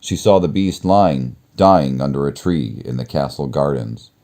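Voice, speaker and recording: A man with an extremely low, mellow voice reads a children's story aloud. His delivery is slow and measured, with an expressive storyteller's touch. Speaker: storybook reader. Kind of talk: children's read-aloud narration.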